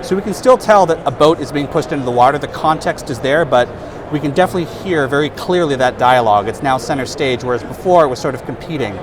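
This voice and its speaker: A man in his thirties, a product specialist, giving a relaxed, explanatory trade-show demo on a lapel mic. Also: mid-range voice, fast talker, a crowd in the background. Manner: relaxed, explanatory, casual fillers. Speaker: product specialist demonstrating software. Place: trade-show booth, indoors. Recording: noisy trade-show booth.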